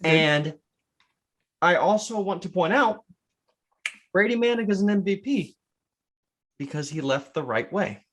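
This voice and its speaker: An American man co-hosting a podcast, chatting about sports with deliberate, slightly grand phrasing. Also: sits high, animated, a bit bright, a little hiss or hum. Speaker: podcast co-host. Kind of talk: casual sports podcast chat.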